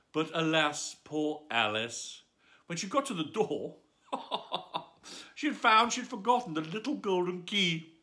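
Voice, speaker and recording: A man's voice: fairly high pitch, bright, forceful tone. A man reading a children's story aloud as a bedtime storyteller, with a theatrical, swooping delivery.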